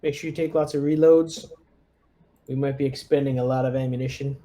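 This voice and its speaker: Mellow guy in a tabletop rpg, mid pitch, kinda hesitant, working stuff out as he talks. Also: fairly even intonation, a little fast. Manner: hesitant, thinking aloud. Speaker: tabletop player playing a military lieutenant. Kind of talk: casual in-game discussion.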